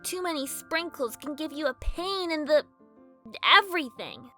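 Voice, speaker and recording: Bratty, high, piercing little filly. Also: female voice, animated, a little slow, sharp tone, faint music behind, exaggerated voice. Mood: weary, self-mocking. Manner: exasperated, snippy. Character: bratty, smug little pegasus filly villain.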